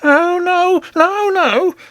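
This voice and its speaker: Man as a pompous, high-voiced goblin king. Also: huge pitch swings, measured pace, no room sound, full character voice. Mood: pleading. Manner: dignified, coaxing. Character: pompous, henpecked goblin king trying for dignity.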